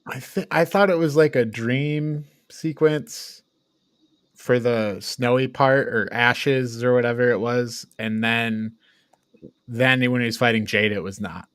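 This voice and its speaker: A man's voice: mid-range voice, steady tone, measured pace, a bit bright, clean tone, no echo, studio quality. A man co-hosting a podcast, chatting casually and reacting in a hesitant, informal, conversational way. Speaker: podcast co-host. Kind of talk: casual chat, reacting to a recap.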